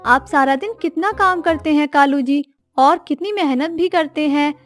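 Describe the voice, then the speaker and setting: A discontented crow wife from a children's cartoon, acted by a woman, speaks in a high voice. She is dramatic and scheming, with a complaining way of putting things. Soft music plays behind her. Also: normal speed, no echo, close to an everyday voice. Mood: coaxing. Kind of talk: children's animated story acting.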